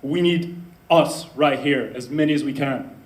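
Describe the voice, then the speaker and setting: A man's voice: medium pitch, measured pace, reverberant. A man in his twenties from the Netherlands making an earnest point in a debate, apologising for his language. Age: 20s. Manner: earnest, apologetic about swearing. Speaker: audience speaker at a debate. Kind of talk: public debate speech.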